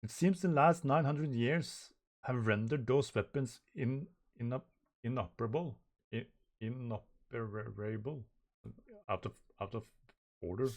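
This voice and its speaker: Man puts on a merciless manga elder: formal, imperious, slow and emphatic. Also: mid-range voice. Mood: cold, commanding. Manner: formal, commanding.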